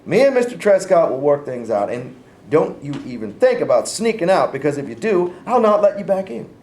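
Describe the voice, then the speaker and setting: A man's voice: on the high side, very animated, a little fast, darkish tone. A man in a staged reading playing a stern, folksy, domineering former governor and father from the South. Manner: folksy, scolding. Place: live staged reading in a room. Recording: echoey room with faint noise.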